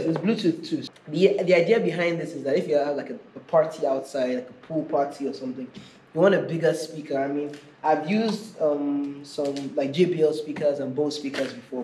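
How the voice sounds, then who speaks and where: Higher-pitched man in an echoey room. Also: a little mellow. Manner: informal, chatty, thinking aloud. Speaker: product reviewer and host. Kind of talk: casual unscripted unboxing vlog. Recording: echoey room with clear background noise.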